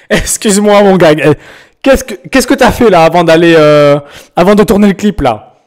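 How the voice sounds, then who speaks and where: A young man from France chatting casually on a live stream, joking and teasing viewers in slangy talk with sudden loud bursts. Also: high-pitched, moderate pace, faint music behind. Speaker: streamer reacting to viewers' music.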